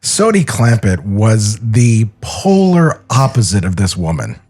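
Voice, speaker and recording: A male podcast co-host with lively, varied intonation talks casually during a script reading. He keeps a joking, conversational style throughout. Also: on the low side, a little slow, soft background music. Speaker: podcast co-host and cartoon critic.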